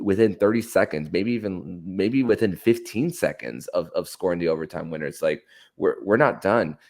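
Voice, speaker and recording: A man's voice: deep voice, creaky, darkish tone. A man hosting a sports podcast in a conversational way, often repeating words as he feels his way through sentences. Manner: halting, stammering repetition of words.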